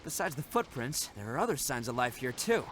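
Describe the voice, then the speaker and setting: A man's voice: medium pitch, big pitch swings, fairly quick, background noise, lightly acted. A man voicing a brash, proud but good-hearted young gang boss in a video game, cocky, blustering and informal. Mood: musing. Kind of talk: video game character voice acting. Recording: game music loud behind voice.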